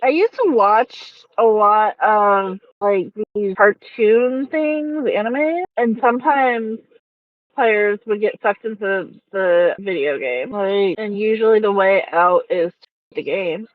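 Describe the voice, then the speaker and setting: A woman's voice: mid-range voice, slow pace, no echo. A woman chatting casually as a player in a tabletop roleplay game. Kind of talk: casual in-character roleplay chat. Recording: dull, dry lo-fi audio.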